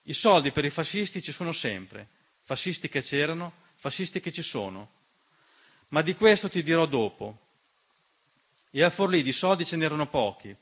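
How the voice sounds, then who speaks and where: A man delivering a scripted radio theatre monologue, measured and dramatic, with deliberate pauses. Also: a little high, a bit bright, husky. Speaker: stage storyteller and narrator. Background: piano music.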